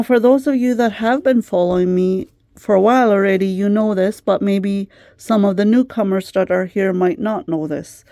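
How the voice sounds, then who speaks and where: A middle-aged woman talks through a craft vlog in a gentle, chatty way, full of ums and uhs. Her voice is mellow and a little worn, as if she is starting to lose it. The sound is close and dry, with no room around it. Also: medium pitch, no room sound. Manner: gentle, chatty, many fillers. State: slightly losing her voice. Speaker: craft channel host. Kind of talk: casual craft vlog chat.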